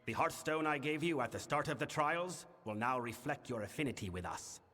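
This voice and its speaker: A male actor voicing a grave, burdened fae king in a fantasy game, speaking formally and in a measured, solemn manner. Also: medium pitch, lively intonation, sharp tone. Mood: formal, welcoming. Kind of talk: scripted fantasy game dialogue. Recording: long reverberant hall, music behind. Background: music.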